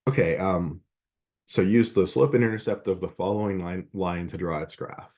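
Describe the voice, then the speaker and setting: Low-pitched male lecturer, easygoing and digressive, mildly stuffy from a cold. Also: normal speed, a little mellow. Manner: rambling, conversational, many fillers. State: slight head cold, a bit sniffly and scratchy. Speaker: math instructor. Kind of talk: classroom lecture.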